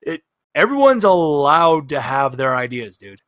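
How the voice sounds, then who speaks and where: A man's voice: a little high, big pitch swings, a little slow, a bit bright, no echo, clean studio sound. A man chatting casually and encouragingly as the game master of a tabletop game.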